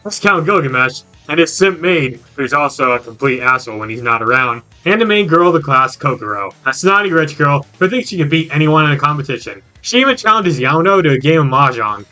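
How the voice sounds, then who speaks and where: A man's voice: fairly high pitch, animated, brisk, hardly any pauses, pushed and bright, faint music behind. A man giving a casual, scripted recap of a video game in a jokey, slangy way with exaggerated reactions.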